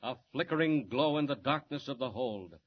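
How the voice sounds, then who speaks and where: A man narrating a 1940s radio drama in a measured, dramatic storytelling manner. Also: medium pitch, expressive. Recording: dull old radio recording with clear hiss.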